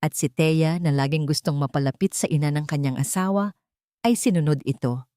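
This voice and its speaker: Babaeng tagapagsalaysay ng drama, malalim ang boses, tuyong rekording sa studio. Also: female voice, low-pitched, normal speed, no echo. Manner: steady, dramatic storytelling. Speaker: narrator. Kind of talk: scripted audiobook drama narration.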